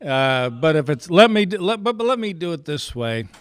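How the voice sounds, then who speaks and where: A man chairing a club meeting with informal announcements, speaking in a halting, folksy way. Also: a little high, sing-song, dry recording.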